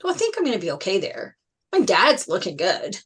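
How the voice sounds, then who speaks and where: A woman's voice: mid-range voice, huge pitch swings, a little fast, a bit bright, husky, full character voice. A woman reading as an eager, affectionate young boy in an earnest manner at a script table read. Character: eager, vain, affectionate young boy talking to his mom.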